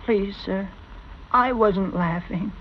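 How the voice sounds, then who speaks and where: A man's voice: high voice, fairly even intonation, takes it slowly, background noise, voice pushed up. A man voicing a shy, sad little male tuba who speaks meekly and apologetically. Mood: wistful, meek. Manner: meek, apologetic, wistful. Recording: muffled old record with orchestra.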